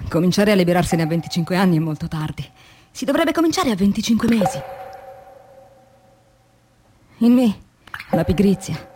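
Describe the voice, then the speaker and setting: A woman's voice: mid-range voice, warm tone, close to an everyday voice. Actress playing a weary, resolute young factory woman, noisy recording. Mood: anguished, reflective. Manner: measured, deliberate phrasing with dramatic pauses. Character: weary young woman philosophy teacher turned factory worker, resolute, tormented. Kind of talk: dramatic radio monologue, diary reading. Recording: clearly noisy recording with ambient sound.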